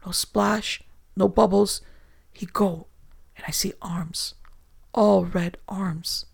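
A man's voice: high-pitched, normal speed, creaky, a little mellow. A man voicing a terrified swarthy male sailor who stammers in broken English. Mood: whimpering. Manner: panicked, stammering, whimpering.

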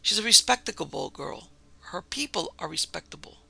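A man voicing an earnest, anxious young son in a storybook, halting and pleading but stubbornly defiant. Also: high voice, sing-song, gravelly, sharp tone, breathy edge, voice pushed up, heavily acted. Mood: insistent.

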